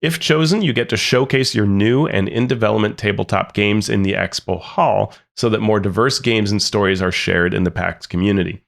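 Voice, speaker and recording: Low, creaky-voiced man co-hosting a podcast. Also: fairly even intonation, normal speed, hardly any pauses. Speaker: podcast co-host. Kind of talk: informal news reading.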